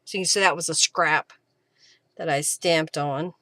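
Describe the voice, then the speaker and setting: A woman's voice: low-pitched, steady tone, normal speed, pushed and bright, no room sound. A woman hosting a craft livestream, casually talking through each step and thinking aloud as she works. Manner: informal, talks to herself and viewers while working. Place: live stream while working with craft materials.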